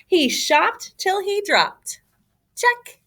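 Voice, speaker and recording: A woman reading a children's picture book aloud as a storyteller, with an expressive, emphatic, theatrical delivery. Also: very high voice, extremely slow.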